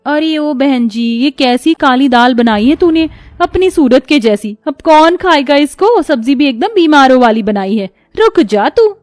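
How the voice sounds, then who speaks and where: A woman's voice: high-pitched, lively intonation, unhurried, few pauses, darkish tone, no echo, clean studio sound, soft background music. A woman voicing a bossy, spiteful young stepsister in a melodramatic storybook tale, taunting and haughty. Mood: scornful.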